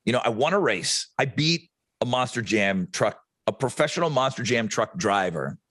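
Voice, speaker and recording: Easygoing radio man, lively pitch. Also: moderate pace. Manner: easygoing, self-deprecating, boastful storyteller. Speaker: radio host, podcast co-host. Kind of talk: casual chat.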